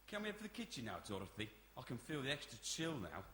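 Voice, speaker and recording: A man's voice: mid-range voice, wildly swooping pitch, fast talker, fairly bright tone. A man acting in a radio play as a droll complainer at a meeting. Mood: droll grumbling. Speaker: actor in a community radio play. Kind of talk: radio drama performed before an audience. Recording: echoey hall with background noise.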